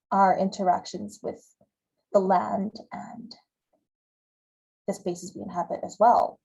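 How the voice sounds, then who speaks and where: A woman's voice: mid-range voice, warm tone, rough recording. A woman from Hawaii giving a conversational talk over slides, with fillers and repeated words. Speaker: guest speaker giving a talk.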